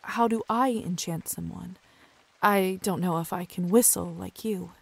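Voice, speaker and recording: An actress playing an earnest, hesitant young gentlewoman who is a new vampire, in video game style. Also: fairly low pitch, unhurried, creaky, slightly rough, exaggerated voice. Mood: curious, doubtful.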